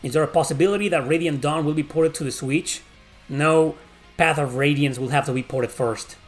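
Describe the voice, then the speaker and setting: A man's voice: a little high, a little fast, soft background music. Profane Mexican-accented man answering questions. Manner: blunt and profane, rambling with fillers. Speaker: YouTube host answering viewer questions. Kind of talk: casual Q&A chat.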